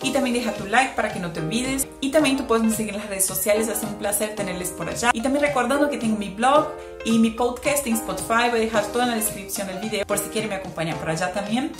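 A young Brazilian woman with a Brazilian Portuguese accent and a fairly high voice talks straight to the camera in a chatty tutorial vlog, filling her pauses with "eh" as she explains. She is recorded at close range in a small plain room that gives her voice a noticeable echo. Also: over music. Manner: chatty, explains with hand gestures, frequent "eh" fillers. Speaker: language vlogger. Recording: echoey room.

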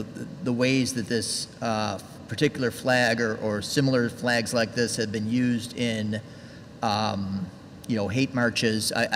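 Man, middle-aged, trustee, hesitant debate speech, desk mic, long reverb, faint noise. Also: on the low side. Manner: hesitant, many ums and restarts. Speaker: village trustee. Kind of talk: speaking in a council debate. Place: large hall at a village board meeting. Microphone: desk microphone.

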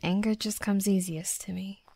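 A woman playing a hot-headed, flustered young female werewolf, a softening bully, in an intimate roleplay audio drama, speaking haltingly and defensively. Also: mid-range voice, fairly even intonation, vocal fry, no room sound. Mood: earnest, self-critical. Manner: halting, defensive, teasing, often dropping to soft murmurs.

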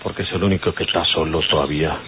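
Deep male Mexican outlaw, wary fugitive in a radio western, tinny noisy old recording. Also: fairly even intonation, rapid-fire. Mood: tense, explaining.

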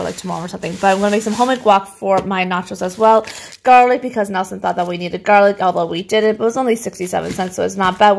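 A woman's voice: mid-range voice, gravelly, slight background noise. A woman in her thirties from Canada, chatting casually to a phone camera in her home kitchen as she rambles through a grocery haul. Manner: chatty, rambling, laughs often. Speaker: vlogger showing a grocery haul. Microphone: phone camera at arm's length.

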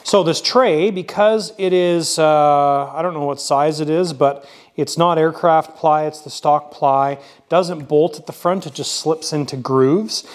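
A man's voice: on the high side, a little slow, hardly any pauses. A man casually narrating his model aircraft build in a workshop, thinking aloud with frequent fillers.

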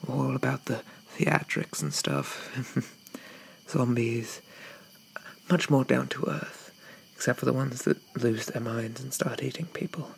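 Man playing a shy, whispering, creaky zombie gentleman. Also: medium pitch, expressive, slow pace, slight background noise, heavily acted, low-quality recording. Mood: wistful, hesitant. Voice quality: breathy, often whispered. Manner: hesitant, apologetic, softly murmured, old-fashioned politeness. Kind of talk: whispered ASMR roleplay.

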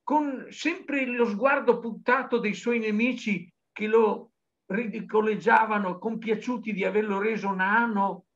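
A man's voice: high voice, fairly even intonation, unhurried, a bit bright. An older man speaking with impassioned, declamatory emphasis as a guest on a video call. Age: older, 60s to 70s. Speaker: novelist guest. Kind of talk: impassioned spoken reflection in an interview. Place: book-lined study indoors, on a video call. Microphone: computer video-call microphone. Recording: dull lo-fi video-call audio.

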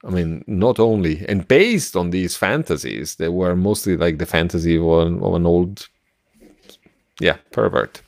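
Low-voiced middle-aged man, halting delivery. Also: lively intonation, vocal fry, a little mellow, dry recording, clean studio sound. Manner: halting, searching for words. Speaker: podcast co-host. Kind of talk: casual podcast discussion. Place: small room at home, indoors, video call. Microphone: close podcast microphone with headset.

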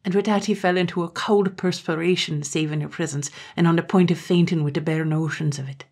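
Low-voiced woman reading a folk tale. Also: fairly even intonation, brisk, a little mellow. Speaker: storyteller. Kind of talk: scripted narration of a folk tale.

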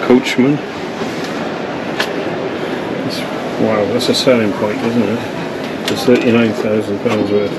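A man's voice: on the low side, steady tone, slow, long pauses. A man chatting casually while he walks around an exhibition, making short offhand remarks and repeating himself. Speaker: vlogger touring a show. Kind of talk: casual walkaround chat. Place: busy indoor exhibition hall. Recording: loud hall noise behind.

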